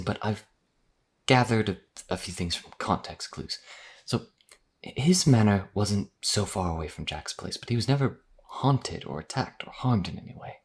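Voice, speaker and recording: A man playing a modest, earnest vampire wanderer in a fantasy audio roleplay, explaining things in a hesitant, conversational way. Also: a little mellow. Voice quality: deep, husky.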